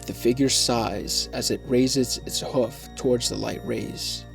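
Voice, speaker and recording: A man narrating a scripted fantasy story in a measured, descriptive way. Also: medium pitch, fairly even intonation, creaky, faint music behind.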